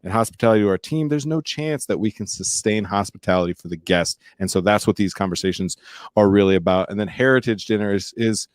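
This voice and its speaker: A man's voice: on the low side, fast, no room sound, studio quality. A man hosting a podcast interview, talking casually with energy and a shifting pace. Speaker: podcast host.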